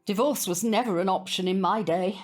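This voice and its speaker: A woman's voice: mid-range voice, a little fast, soft background music, close to an everyday voice. An actress playing a prim older neighbour woman in an audio drama, proper, hesitant and genteel. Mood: earnest, reflective.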